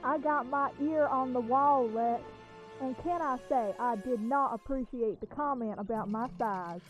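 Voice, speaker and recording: A woman plays a towering villainess with a sarcastic, complaining manner. Her voice is high, with faint music behind it, in a comic radio play. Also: measured pace, poor audio. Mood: irritated. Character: giantess supervillain, testy and sarcastic.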